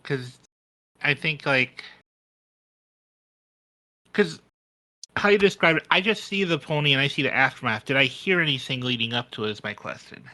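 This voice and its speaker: A man's voice: medium pitch, moderate pace, long pauses, sharp tone, poor audio. A man playing a tabletop roleplaying game, talking casually and hesitantly as he thinks aloud.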